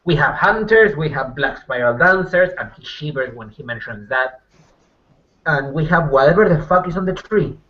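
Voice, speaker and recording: A man improvising in a tabletop roleplaying game, halting and repeating phrases, on dull lo-fi audio. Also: a little high, animated. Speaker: tabletop role-player. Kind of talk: casual improvised in-character roleplay.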